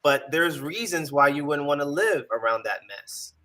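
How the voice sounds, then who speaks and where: A man's voice: fairly high pitch, expressive, normal speed, bright, forceful tone. A man in a casual talk show debate, arguing his point and pushing back on the hosts. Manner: argumentative, pushes back.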